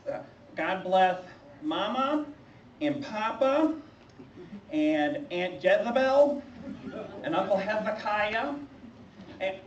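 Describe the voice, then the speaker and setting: A performer voicing a nervous young prophet in a children's church skit, squeaky and lisping, stammering as he complains. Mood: prayerful. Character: young reluctant prophet Jeremiah, nervous and whiny. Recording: roomy, distant, muffled, faint noise.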